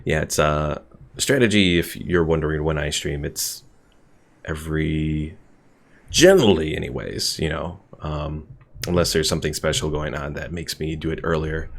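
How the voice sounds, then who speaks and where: A man's voice: extremely low pitch, normal speed, vocal fry. A man casually chatting with viewers while streaming a strategy game, laid-back and rambling. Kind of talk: casual live stream commentary.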